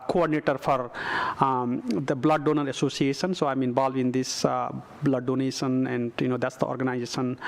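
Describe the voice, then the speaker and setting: A middle-aged man from Nepal, a council candidate giving a closing statement at a forum, speaking haltingly with many filler sounds. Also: mid-range voice, a little hiss or hum.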